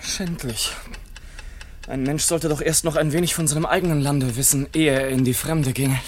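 A man acting a frail, harried gentleman writer in a radio play, whimsical, indignant and theatrically digressive, on an old recording. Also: a little high, normal speed, noisy background, lightly acted. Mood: grumbling. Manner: theatrical, digressive, excitable, grumbling.

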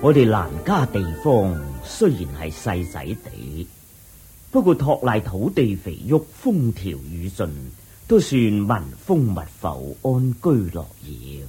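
A slow, deferential official. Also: male voice, lowish voice, huge pitch swings, warm tone, faint music behind. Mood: inquisitive. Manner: deferential, inquisitive. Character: earnest, respectful male official of a small kingdom. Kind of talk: radio drama acting.

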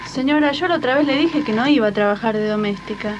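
A woman acts a hardworking Argentine seamstress in an old radio play, her voice high and hesitant. She stays deferential while she protests, worried for her job, on a dull tape with clear noise. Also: fast talker, natural voice. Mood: timid protesting. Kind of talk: 1980s educational radio drama acting. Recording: dull, noisy 1980s archive radio tape.

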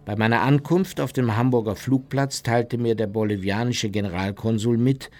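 An actor playing a self-justifying German businessman, narrating his memoirs formally and deliberately in a radio play. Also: mid-range voice, steady tone, a little mellow, natural voice. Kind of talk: scripted first-person radio play narration.

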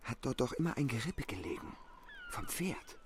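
A man's voice: a little high, wildly swooping pitch, moderate pace. A man in a German radio play acting a gossipy, superstitious villager who tells ghost tales in clipped colloquial phrases. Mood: gossiping. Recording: outdoor-sounding scene, honking geese, faint noise.

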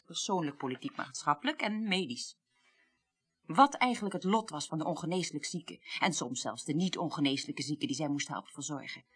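An actress playing a weary, well-read middle-aged woman in a radio play, recounting the past deliberately and articulately. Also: deep voice, animated, fairly bright tone, breathy edge, full character voice. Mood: grave. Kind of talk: 1980s radio play acting.

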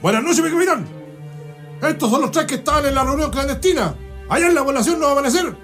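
Chilean army sergeant, male actor, radio play. Very high, rapid, harsh and bullying, faint music behind. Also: lively intonation, fairly bright tone. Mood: dutiful report. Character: brutal, foul-mouthed army sergeant barking at prisoners. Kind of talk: radio drama acting.

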